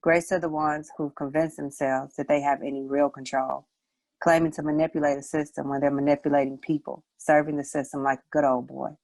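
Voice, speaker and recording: A woman's voice: low-pitched, level tone, fairly quick, darkish tone, no echo, rough recording. A woman reciting her own poetry as a spoken word piece. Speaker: poet, showcase participant.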